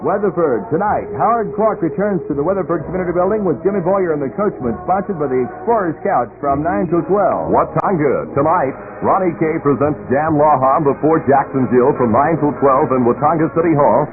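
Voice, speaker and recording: A man briskly reading a list of local dance events on the radio. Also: a little high, animated, over music. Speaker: announcer. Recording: tinny lo-fi 1960s radio aircheck.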